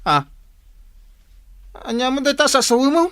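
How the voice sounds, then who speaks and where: Very animated, flustered Ilocano husband. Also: male voice, extremely high pitch, measured pace, no echo, heavily acted. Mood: puzzled, annoyed. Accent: Filipino, Ilocano. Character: evasive, flustered cheating husband and father. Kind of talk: Ilocano radio drama acting.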